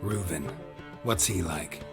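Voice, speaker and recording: A man playing a world-weary witcher in a video game, terse and deadpan, in a low gravelly murmur. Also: takes it slowly. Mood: dry, probing. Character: world-weary gruff male witcher, monster hunter for hire. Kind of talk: video game cutscene acting. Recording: music under the dialogue.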